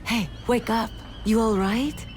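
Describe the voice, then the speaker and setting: An actress playing a practical, brisk island survivor in a video game, speaking matter-of-factly. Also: female voice, fairly high pitch, steady tone, darkish tone. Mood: urgent, concerned. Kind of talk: video game cutscene acting. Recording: game audio with clear ambient noise.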